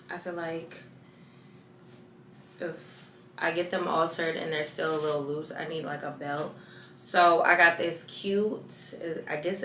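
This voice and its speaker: A young woman in her twenties chatting casually through a clothing haul, lively and loose, dipping into soft asides. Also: on the low side, echoey room, a little hiss or hum. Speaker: fashion vlogger. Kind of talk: casual try-on haul chat.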